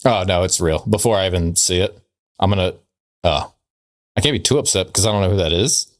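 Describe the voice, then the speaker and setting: A man's voice: deep voice, brisk. A man co-hosting a podcast in casual banter, with dry teasing and hesitant phrasing. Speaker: podcast co-host.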